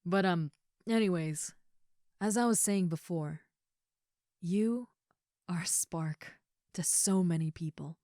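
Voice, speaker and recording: A woman playing an affectionate giant ogre roommate in an intimate comfort roleplay, speaking tenderly and haltingly with soft, breathy asides. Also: lowish voice, no echo, clean studio sound. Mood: earnest, reassuring. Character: gentle, affectionate giant female ogre roommate, shyly confessing.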